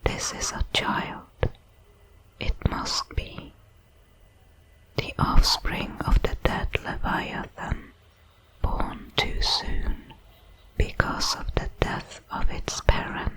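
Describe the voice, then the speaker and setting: A woman voicing a detached, curious alien creature in a sci-fi ASMR roleplay, whispering in slow, deliberate, clinical phrases. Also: fairly bright tone, low-quality recording. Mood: curious, measured. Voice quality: breathy whisper.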